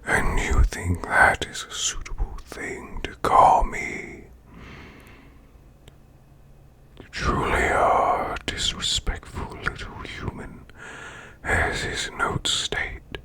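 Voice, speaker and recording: A man voicing a haughty demon CEO in an ASMR roleplay, with a breathy demonic growl and a formal, menacing yet soothing manner. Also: very deep voice, pushed and bright, exaggerated voice. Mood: haughty displeasure. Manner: slow, pompous, formal, deliberate.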